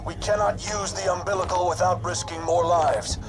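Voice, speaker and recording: A man acts out a gruff military commander in a sci-fi game. His voice sits high for a man as he barks curt, impatient orders with a sardonic edge. Loud music plays underneath. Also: steady tone, normal speed, lightly acted. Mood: stern. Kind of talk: military radio dialogue in a game cutscene.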